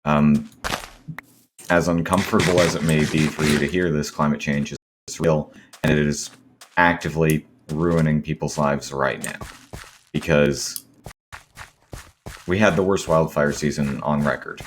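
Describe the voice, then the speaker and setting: Hoarse, low-voiced man rambling slowly. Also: fairly even intonation, slight background noise. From Seattle area, US. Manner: rambling, many ums and self-corrections. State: hoarse, sore throat. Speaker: gaming video host. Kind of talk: casual gameplay commentary.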